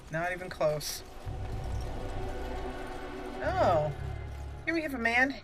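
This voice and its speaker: A woman's voice: a little high, very animated, extremely slow, pauses often, over music. Chatty woman doing gameplay commentary. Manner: chatty, informal, thinking aloud. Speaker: gamer hosting a roleplay playthrough.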